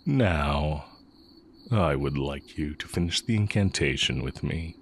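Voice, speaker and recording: Man playing a very deep-voiced, unhurried dark mage. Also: animated, a little hiss or hum. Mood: solemn, intimate. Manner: measured, formal, deliberate phrasing. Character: heretic dark mage, male former teacher, tender and sly. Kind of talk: romantic audio roleplay, intimate scripted acting.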